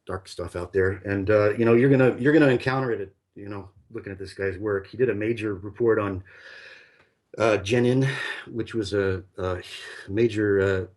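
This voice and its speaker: A man's voice: lowish voice, fairly even intonation, normal speed, a little mellow. A man telling a long personal story in casual conversation, rambling and full of fillers and restarts.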